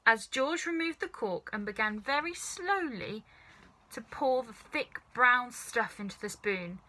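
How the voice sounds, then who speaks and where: A woman narrating a children's story in an expressive, scripted storytelling style. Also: fairly high pitch. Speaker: storybook reader.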